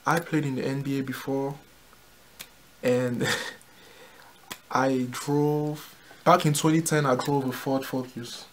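A man's voice: medium pitch, level tone, slow pace, pauses often, slight background noise. A young man in his twenties co-hosting a casual couple challenge, making plain, matter-of-fact guesses in a small room. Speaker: co-host.

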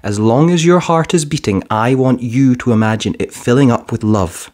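A man's voice: mid-range voice, moderate pace, a little mellow, lightly acted. A man voicing a kindly young boy who mentors a friend in a children's storybook, earnest and reassuring.

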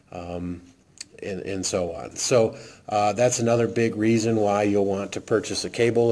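A man with a low, mellow voice explains things step by step in an unscripted how-to demo. He talks haltingly, padding his phrases with many uh and um fillers, as he recalls each point off the cuff. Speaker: radio hobbyist demonstrating programming. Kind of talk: casual how-to walkthrough. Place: indoor desk, off camera.

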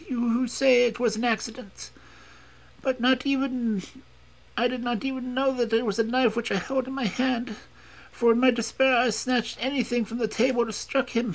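A narrator voicing a defiant, dignified foreign woman in an audiobook story, speaking gravely and formally. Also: mid-range voice, pitched above the performer's own voice, lightly acted, low-quality recording.

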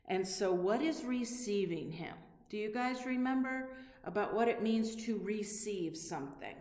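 Middle aged lady doing a kids bible lesson, talks slow and simple, asks lots of questions, really echoey room. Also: medium pitch, a little mellow. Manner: slow, simple explaining with frequent questions to the listener. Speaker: children's Bible story teacher. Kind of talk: children's Bible lesson, addressing young viewers. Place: indoor room with corrugated metal wall. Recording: echoey room with long reverb.

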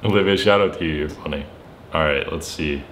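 A young man chatting casually and excitably to camera in slangy, playfully dramatic speech in a bedroom. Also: rumbling low, fairly quick, a little hiss or hum. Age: young adult. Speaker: vlogger making prank calls. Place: bedroom, indoors.

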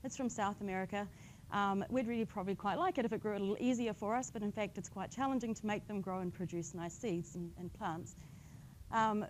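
A woman with a slightly croaky voice giving a friendly public talk on invasive species, explaining plainly with light humour. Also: mid-range voice, a little hiss or hum, poor audio. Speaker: invasive species outreach coordinator. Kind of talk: public science talk with slides.

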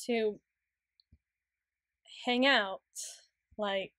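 A woman playing a flustered, prim, studious young tsundere in an ASMR roleplay, speaking in halting, stammering, formal sentences. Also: mid-range voice, steady tone, a bit bright, no room sound, studio quality. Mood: hesitant, tender. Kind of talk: ASMR roleplay, acted monologue.

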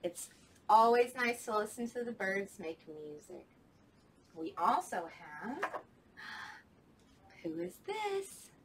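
A woman's voice: medium pitch, big pitch swings, takes it slowly, pauses often, husky. A young woman, a children's librarian, leading a storytime with songs, talking to kids at home in a sing-song way in a library children's room.

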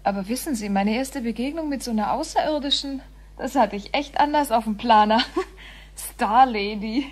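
A woman's voice: fairly high pitch, moderate pace, lightly acted. An actress playing a breezy, curious, chatty woman in a radio play, talking colloquially and eagerly. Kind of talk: radio drama acting.

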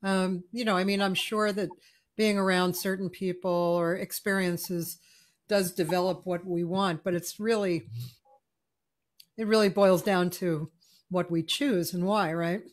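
A woman's voice: lowish voice, fairly even intonation, a little slow. A woman in her sixties hosting a casual video-call interview from her home music room, effusive and often searching for words. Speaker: host. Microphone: studio mic on a video call.

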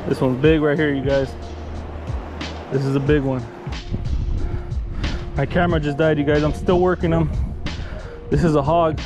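A man vlogging while fishing on a canal bank, excited and repeating himself, filmed on a head-mounted camera. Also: on the high side, normal speed, long pauses, over music. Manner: excited, repeats "you guys", laughs often. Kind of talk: casual vlog commentary. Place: outdoors on a concrete aqueduct bank. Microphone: head-mounted action camera.

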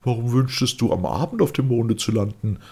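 A wide-eyed, questioning earthling voyager in a vintage space tale, performed by a male narrator. His voice is lifted and animated, slightly husky, full of naive wonder as in an audiobook. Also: medium pitch, a little fast, voice pushed up. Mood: curious. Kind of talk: audiobook character dialogue.

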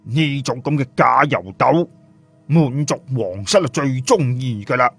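A man's voice: mid-range voice, animated, a little slow, vocal fry, a bit bright, breathy edge, soft background music, heavily acted. A man voicing a brash, scornful, streetwise fellow with a blunt, slangy, mocking manner in an audio story. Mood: scornful explaining.

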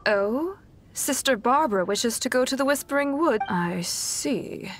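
A woman voicing a kindly, composed, protective nun in an anime game, with a gentle, measured, formal manner. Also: sits high, animated, a little hiss or hum. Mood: gentle, concerned.

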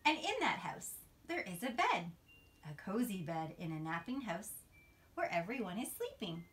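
Female storyteller, bright and lively, expressive read-aloud for little children with suspenseful drawn-out phrasing, home phone recording. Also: medium pitch. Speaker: teacher reading a bedtime story. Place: small carpeted-sounding bedroom, indoors, seated on a bed. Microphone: phone camera a few feet away. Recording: phone video with clear background noise.

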